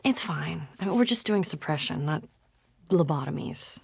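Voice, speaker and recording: A reflective researcher in a game audio log, with a low voice full of vocal fry. She sounds soft and understated, recorded very dry. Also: lively intonation, moderate pace, dry recording, full character voice. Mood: hesitant, casual. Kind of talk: audio drama reading and conversation.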